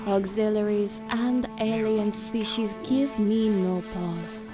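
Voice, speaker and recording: A woman playing a composed female alien commander, narrating and speaking in a measured, formal, grave manner in an audio drama. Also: mid-range voice, lightly acted. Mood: reflective, proud. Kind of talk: dramatic first-person narration and dialogue. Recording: voice over background music.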